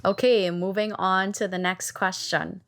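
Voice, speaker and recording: A woman's voice: mid-range voice, steady tone, a little slow, a bit bright. A woman, co-hosting a casual chat in a reflective way, with frequent filler words. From Trinidad and Tobago. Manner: reflective, many "you know" fillers. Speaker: podcast co-host.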